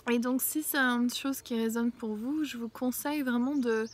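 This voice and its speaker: Soft-spoken woman with a head cold. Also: on the high side, steady tone. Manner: hesitant, many pauses and fillers, often drops to a hushed near-whisper. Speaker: oracle card reader. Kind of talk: casual spiritual card reading. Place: outdoors in a small mossy spot with violets.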